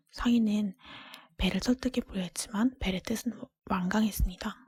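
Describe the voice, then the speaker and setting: Whispering woman reading a storybook, very breathy. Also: mid-range voice. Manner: gentle, hushed storytelling. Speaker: storybook reader. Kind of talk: whispered ASMR fairy-tale reading.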